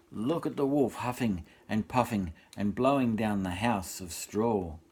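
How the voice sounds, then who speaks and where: An older man reading a children's story as a grandfather, expressive and talking directly to young children. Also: fairly low pitch, breathy edge.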